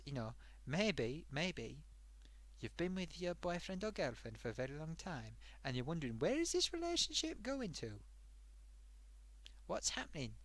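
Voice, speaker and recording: A man's voice: on the high side, fairly bright tone, no room sound. A man voicing a chatty sock puppet host who rambles off topic and talks to viewers, his throat getting sore. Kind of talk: rambling comedic live-stream monologue.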